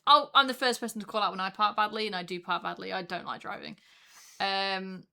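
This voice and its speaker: A woman co-hosting a casual podcast, chatty and quick to laugh, with lots of fillers. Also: mid-range voice, steady tone, vocal fry, sharp tone. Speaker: podcast co-host. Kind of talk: casual chat.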